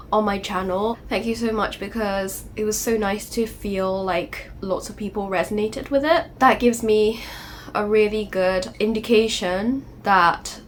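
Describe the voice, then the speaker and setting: A woman's voice: medium pitch, slight background noise. Earnest woman vlogging while getting over a cold. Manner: earnest and gentle, frequent "you know". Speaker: channel host. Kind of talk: personal confessional vlog.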